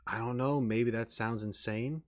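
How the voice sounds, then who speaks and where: A man's voice: mid-range voice, fairly even intonation, a little slow. A man giving casual, off-the-cuff reaction commentary as a video game host, hesitant and thinking aloud as he repeats words.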